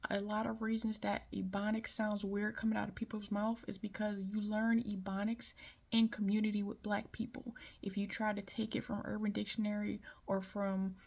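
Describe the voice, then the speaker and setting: A woman's voice: mid-range voice, little pitch movement, normal speed, creaky, darkish tone. Frank woman with light Southern accent. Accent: light Southern American. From Detroit. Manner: opinionated, frank, conversational. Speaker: commentator. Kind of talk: casual monologue.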